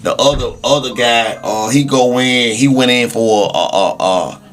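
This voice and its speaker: A man's voice: mid-range voice, moderate pace, a bit bright. A man chatting casually on a podcast, informal and emphatic with profane street slang. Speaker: podcast speaker.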